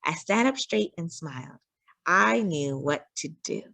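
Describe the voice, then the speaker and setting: Slow, highly animated woman storyteller. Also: creaky, dry recording. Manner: deliberate and dramatic, acting out dialogue. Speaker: storyteller reading a children's picture book aloud. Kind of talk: expressive scripted read-aloud narration.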